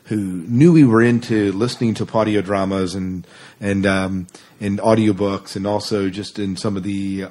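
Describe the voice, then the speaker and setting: Low, husky male podcast host. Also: flat delivery, moderate pace, warm tone. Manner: rambling. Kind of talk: casual interview chat.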